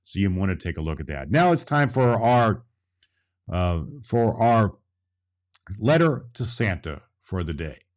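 A man's voice: fairly low pitch, sing-song, a little slow. A man playing Santa Claus as a jolly old storyteller, talking directly and emphatically to children. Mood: jolly, earnest. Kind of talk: children's storytelling and friendly show hosting.

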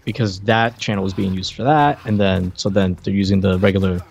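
A man co-hosting a podcast, reviewing a game in casual chat and thinking aloud with halting, repeated words. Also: sits low, fairly even intonation, a little mellow, dull audio. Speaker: podcast co-host. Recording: clearly noisy background with clicks.

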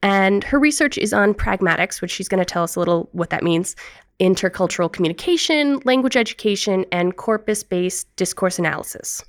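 A woman's voice: mid-range voice, lively intonation, a little fast, dry recording. An American woman with a mid-range voice hosts an interview podcast. She sounds upbeat and enthusiastic as she introduces her guest and asks questions, and her voice is recorded close with a dry sound. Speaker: podcast host.

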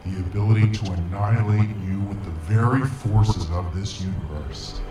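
A man's voice: fairly low pitch, normal speed, over loud music, close to an everyday voice. A man playing a vengeful, grieving ancient interdimensional monster in a sci-fi audio drama, speaking in grandiose, formal menace. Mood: mournful, brooding. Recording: noisy, echoing, distant-sounding.

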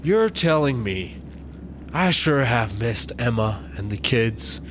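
An actor playing a mild, straitlaced Utah family man with a deadpan manner, in a comedy audio drama. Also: medium pitch, slow pace, slight background noise.

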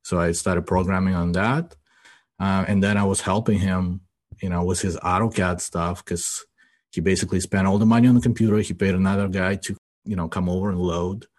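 A man's voice: low voice, fairly even intonation, a little fast, darkish tone. A man in his forties from Ukraine, a guest in a casual podcast interview, rambling and tagging his sentences with "you know" and "right?". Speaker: guest, IT business owner.

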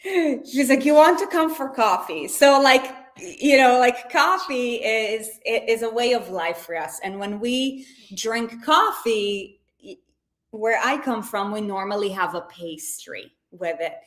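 A woman's voice: on the high side, expressive, a little slow. A woman from Israel coaching on nutrition in a casual livestream, chatty, with lots of fillers and restarts.

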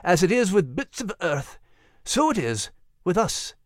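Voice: medium pitch, very animated, measured pace, dry recording, clean studio sound, pitched above the performer's own voice. A narrator voicing a solemn old father giving instruction.

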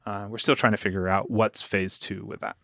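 A man's voice: lowish voice, level tone, a little fast, gravelly, fairly bright tone, no room sound, studio quality. A man speaking as a producer and guest in a conversational podcast interview, earnest and emphatic as he explains and recounts. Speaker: audio drama producer and guest.

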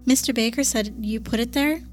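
A woman's voice: on the high side, a little fast, faint music behind. A woman playing a tabletop game, politely asking questions as her character and narrating her actions. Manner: polite, inquisitive, shifts between narration and in-character speech. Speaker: player.

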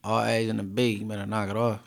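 A man's voice: on the low side, little pitch movement, fast, vocal fry. Teasing, insistent man podcast co-host. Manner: teasing, gets loud and insistent. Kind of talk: casual chat.

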